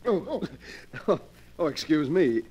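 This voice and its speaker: A man's voice: a little high, big pitch swings, takes it slowly, highs cut off. An actor playing a nervous, polite young man in a 1940s radio horror drama, stammering and flustered. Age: young adult. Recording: very noisy old radio recording.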